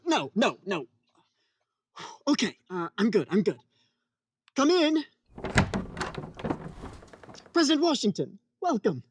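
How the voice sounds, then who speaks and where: A woman acts a fussy male architect in a radio sketch, formal and eager to please but easily flustered. The delivery swings widely in pitch, and the sound is close and dry. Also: big pitch swings, measured pace, breathy edge, dry recording. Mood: flustered, panicky. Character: fussy, nervous gentleman architect, head of his firm. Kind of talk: old-time radio sketch comedy.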